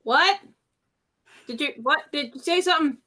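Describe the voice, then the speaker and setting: A woman's voice: high-pitched, normal speed, sharp tone, pitched above the performer's own voice. A woman playing a brash vampire wolf woman, talking in a casual, slangy, sweary way. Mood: oblivious, perky.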